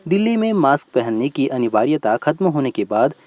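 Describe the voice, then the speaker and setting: A man presents scripted news in a medium-pitched voice. His intonation rises and falls in a lively way as he reads each report. The sound is dry, with no room echo. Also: fairly quick. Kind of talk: scripted news narration in a bulletin.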